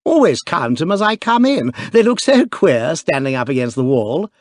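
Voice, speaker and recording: A man voicing an excitable, boyish young duke who talks eagerly and emphatically. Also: on the high side, normal speed, no room sound, clean studio sound, voice pushed up. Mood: excited.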